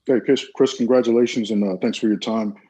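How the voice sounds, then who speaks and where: A male reporter asking a player a question at a press conference, in a measured, polite way. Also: fairly low pitch, steady tone, warm tone, breathy edge. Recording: dull, lo-fi audio.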